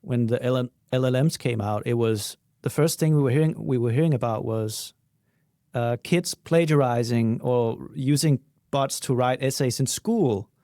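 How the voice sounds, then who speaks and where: A man with a mellow, soft-edged voice talks casually on a podcast panel. The sound is dry and close, and his pitch sits in an ordinary male range. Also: normal speed, dry recording. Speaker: podcast panelist, communications professional.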